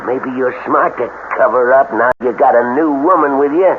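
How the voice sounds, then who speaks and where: An actor playing a vengeful backwoods bully with an American Southern accent and a jeering drawl, in an old-time radio drama on a thin broadcast recording. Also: male voice, medium pitch, normal speed, phone-quality sound, a little hiss or hum, natural voice. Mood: vengeful taunting.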